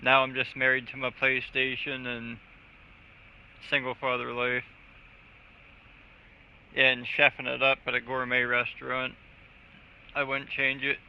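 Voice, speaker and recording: A man's voice: mid-range voice, completely flat delivery, unhurried, pauses often, a little hiss or hum. A man chatting casually on a gaming live stream in a crude, profane, joking way. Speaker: video game live streamer. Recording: dull lo-fi audio, faint music.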